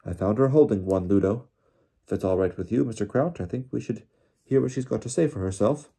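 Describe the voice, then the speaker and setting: A man's voice: on the low side, brisk, vocal fry, warm tone, slightly rough. A man voicing a stern, officious wizard official in an audiobook, pompous and accusing as he interrogates. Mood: firm. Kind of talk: audiobook character voice.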